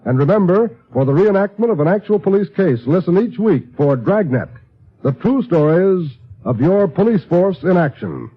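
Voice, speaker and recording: A man's voice: sits high, lively intonation, moderate pace. A man with an American accent making formal, emphatic scripted announcements in a 1950s radio style. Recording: muffled old radio broadcast recording.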